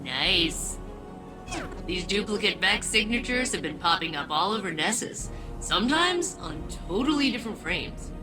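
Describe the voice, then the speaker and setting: An easygoing, curious crew member in a game. She muses aloud with a lively, wandering pitch. A game score plays clearly behind. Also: moderate pace, low-quality recording. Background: game music score.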